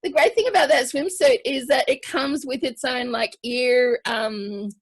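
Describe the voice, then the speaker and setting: High, husky-voiced woman hosting playfully. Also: unhurried. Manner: playful, bantering. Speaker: host of an online variety show. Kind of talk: casual group video chat. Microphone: video call. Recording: video call audio.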